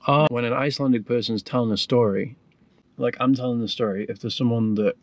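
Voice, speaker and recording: A man's voice: mid-range voice, steady tone, fairly quick. A young English man in his twenties, chatting casually and poking fun at himself while he drives. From England. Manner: chatty and self-deprecating. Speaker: vlogger. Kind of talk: casual chat on a road trip. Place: inside a moving car. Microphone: camera on the dashboard. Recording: car noise behind the voice.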